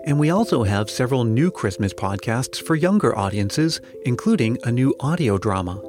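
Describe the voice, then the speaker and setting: A man's voice: mid-range voice, sing-song, normal speed, over music. A man hosting a podcast, giving scripted introductions and interview questions.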